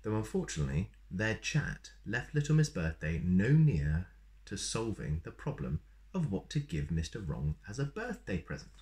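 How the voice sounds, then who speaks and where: Male storyteller, thirties, low voice, animated and playful read-aloud for young children. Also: moderate pace. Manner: expressive, playful. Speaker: storyteller reading a children's picture book. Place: small plain room indoors.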